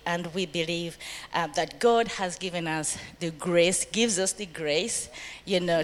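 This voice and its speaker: A woman from Uganda, middle-aged, addresses a church gathering about raising a family. Her voice sits low, and she speaks earnestly, laughs often and quotes scripture.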